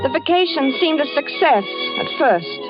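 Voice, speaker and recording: An actress playing a worried, devoted wife who narrates in a 1940s radio drama. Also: female voice, high voice, wildly swooping pitch, moderate pace. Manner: anxious, coaxing. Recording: dull old radio broadcast with music.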